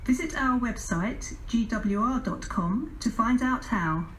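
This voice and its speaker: A woman with an English accent reading formal, measured automated railway announcements in pieced-together phrases over a station public address system. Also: fairly high pitch. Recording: distant station PA speakers, clear background noise.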